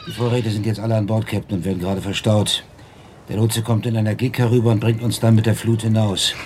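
An actor playing a tough, dutiful ship's lieutenant in a radio drama, reporting briskly and with deference. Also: male voice, fairly low pitch, flat delivery, a little mellow, a little hiss or hum, close to an everyday voice.

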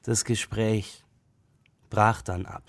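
A man's voice: lowish voice, little pitch movement, slow pace, no echo. Evasive young man, close dry sound. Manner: terse, evasive, casual. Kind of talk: radio drama acting.

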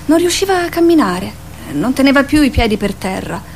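Wistful, higher-voiced mother. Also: big pitch swings, fairly quick, slight background noise. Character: wistful, tender adult mother of a young boy. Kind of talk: theatrical radio drama acting.

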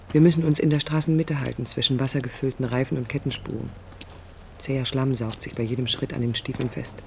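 A woman's voice: a bass voice, creaky, a little mellow. Headstrong young woman architect, noisy recording. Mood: observant, reflective. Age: young adult. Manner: articulate, combative, ironic. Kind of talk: radio drama acting with interior monologue. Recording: 1980s radio play with background noise.

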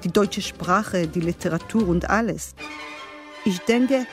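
A woman's voice: lowish voice, takes it slowly, faint music behind. A woman playing a shaken, bitter letter writer confessing in a dramatic monologue.

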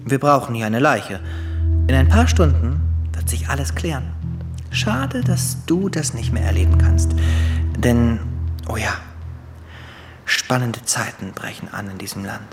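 An actor playing a sadistic, mocking crime boss in a radio play, musing theatrically with little chuckles. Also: male voice, medium pitch, sing-song, measured pace, long pauses, music in the background. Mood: cold, mocking. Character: sadistic male crime boss gloating over his dying victim.

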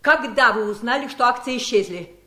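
An actress playing a haughty, greedy, scheming wife in a Soviet seventies radio drama, with a mocking, theatrical, disdainful delivery. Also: female voice, on the high side, brisk, sharp tone. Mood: mocking, impatient.

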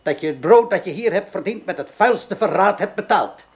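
Radio play: a cruel ringleader as mock judge, high and animated. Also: male voice, normal speed, highs cut off. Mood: menacing. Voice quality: theatrical, menacing, commanding. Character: vengeful male village ringleader acting as judge. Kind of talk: Dutch radio drama acting.

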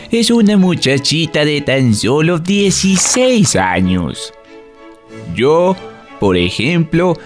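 Male radio storyteller: unhurried, highly expressive intonation, music underneath. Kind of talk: dramatic storytelling narration over music.

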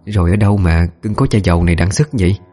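A man's voice: sits low, fast talker, mellow, husky, faint music behind. A man voicing a caring young woman, soothing and concerned, with a Southern Vietnamese accent in an audio story. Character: gentle, caring young woman of the household, sister-in-law.

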